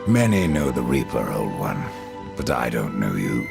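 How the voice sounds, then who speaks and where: Menacing reaper for a fantasy game, super gravelly, dry and curt but swings around in pitch. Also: male voice, big pitch swings, moderate pace, over music, full character voice. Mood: terse, probing. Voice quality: deep gravelly rasp. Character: grim, sardonic Grim Reaper horseman of the apocalypse. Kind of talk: dark fantasy game acting.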